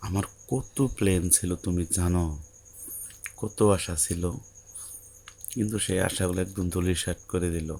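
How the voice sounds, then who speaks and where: Man acting anxious young groom, Bangladeshi accent, creaky, dark timbre, hesitant, soothing, audio story drama. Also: low-pitched, level tone, normal speed, pauses often, slight background noise. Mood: worried. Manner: hesitant, pleading, colloquial. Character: anxious newlywed groom, obedient son, soothing his bride.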